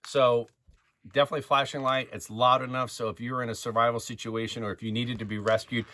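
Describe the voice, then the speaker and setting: A man giving a casual unboxing review as a product reviewer, speaking informally and a bit haltingly. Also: medium pitch, level tone, bright, forceful tone. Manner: informal, hesitant, halting delivery.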